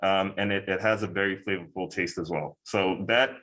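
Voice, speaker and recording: A man's voice: low-pitched, fairly even intonation, moderate pace, fairly bright tone. A man leading a hands-on cooking demonstration, upbeat and encouraging, with frequent fillers as he explains each step. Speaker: cooking instructor and hot sauce maker.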